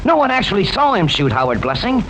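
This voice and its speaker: An actor playing a stubborn, impassioned juror in an old radio mystery, arguing emphatically and theatrically. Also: male voice, high voice, sing-song, fast, a bit bright. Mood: triumphant, insistent. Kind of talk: old-time radio mystery drama acting. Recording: noisy vintage radio broadcast.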